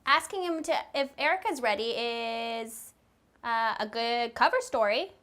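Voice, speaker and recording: A woman's voice: fairly high pitch, animated, unhurried, pushed and bright. A woman working as a therapist, coaching in simple steps and explaining, encouraging and often checking understanding with questions. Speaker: behaviour therapist teaching a child.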